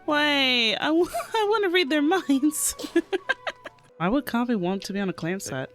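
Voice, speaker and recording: Excitable, animated woman streamer. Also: high-pitched, slow, long pauses, faint music behind. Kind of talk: casual game reaction commentary.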